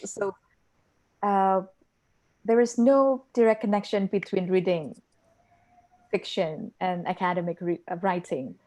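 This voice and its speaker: A woman's voice: medium pitch, measured pace, long pauses, warm tone, rough recording. A woman on a discussion panel answering a question carefully, with hesitations. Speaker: panel speaker.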